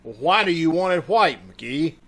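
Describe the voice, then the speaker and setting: A man playing a stubborn, sardonic tree-lot salesman in old-time radio comedy, curt and quick to retort. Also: on the high side, expressive, a bit bright. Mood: unimpressed. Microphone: video call.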